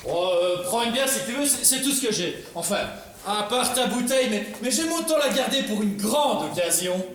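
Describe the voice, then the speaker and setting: A man's voice: very high voice, animated, moderate pace. A man playing a sarcastic, resentful young painter son. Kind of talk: stage comedy acting. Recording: very echoey stage recording with faint noise.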